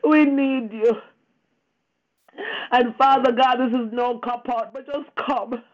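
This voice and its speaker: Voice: mid-range voice, fairly even intonation, takes it slowly. A prayer leader praying fervently and off the cuff, pleading and emphatic, with repeated invocations and a tearful edge. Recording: dull lo-fi radio-like phone line.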